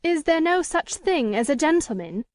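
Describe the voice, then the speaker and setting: Proud, indignant storybook lady, played by a woman narrator: very high pitch, formal, emphatic, close dry sound. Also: big pitch swings, normal speed, a little mellow, dry recording. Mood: desperate, impassioned. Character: proud, defiant woman with an unhappy secret past. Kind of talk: dramatic audiobook character reading.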